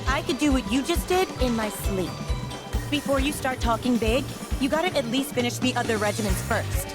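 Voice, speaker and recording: A woman's voice: fairly high pitch, animated, normal speed, close to an everyday voice. A woman playing a guarded, earnest, downcast young former racer in a video game, with a defensive delivery. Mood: irritated. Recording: loud music behind the voice. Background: loud game music.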